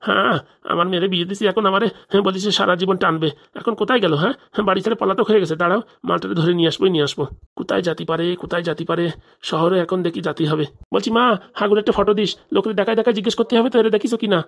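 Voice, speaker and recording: A woman's voice: mid-range voice, racing, vocal fry. A woman playing a scheming, impatient moneylender father in a comic cartoon, blustering and insistent. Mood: greedy, plotting.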